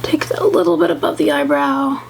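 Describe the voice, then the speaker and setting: A woman's voice: high voice, big pitch swings, normal speed, vocal fry. A young woman vlogger chatting through her makeup routine, sniffly and congested, rambling and second-guessing herself as she talks. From American South. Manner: rambling and self-doubting, full of fillers. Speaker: beauty vlogger. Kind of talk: chatty get-ready-with-me makeup tutorial. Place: home, at a makeup table. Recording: noisy home recording.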